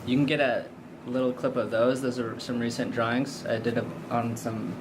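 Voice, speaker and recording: A man from Los Angeles introducing himself as a painter in a relaxed, plain way. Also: mid-range voice, normal speed, background noise. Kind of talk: casual self-introduction.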